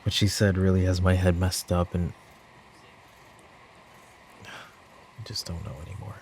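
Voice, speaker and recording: Weary mafia boss, played by a man in an audio roleplay: low, mellow, brooding, burdened by guilt, faint noise behind. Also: fairly even intonation. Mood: resigned, softening. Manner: weary, brooding, speaking in sighs and pauses. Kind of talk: roleplay ASMR audio drama acting.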